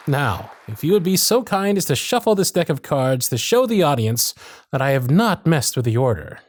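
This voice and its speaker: Man playing a theatrical stage magician, lively swooping delivery. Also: fairly high pitch, dry recording, clean studio sound. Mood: showy, theatrical. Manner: grand projected patter, drawn-out dramatic phrasing. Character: showy stage magician, warm and theatrical showman. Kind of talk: ASMR roleplay stage performance.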